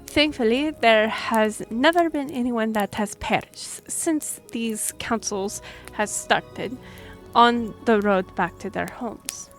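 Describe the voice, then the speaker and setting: A woman's voice: fairly high pitch, slow, soft background music. A woman playing a courteous half-elf royal liaison in a fantasy audio drama, speaking formally and explaining things with some hesitation. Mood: troubled, musing.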